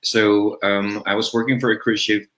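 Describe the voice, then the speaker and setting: Bright, low-voiced man telling his story. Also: flat delivery, moderate pace, poor audio. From Philippines. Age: 30s. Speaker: interview guest, business coach. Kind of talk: personal storytelling in a livestream interview.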